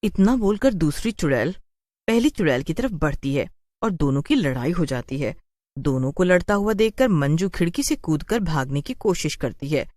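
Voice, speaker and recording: A woman's voice: deep voice, a little mellow, no echo, studio quality. A woman narrating a scripted cartoon horror story.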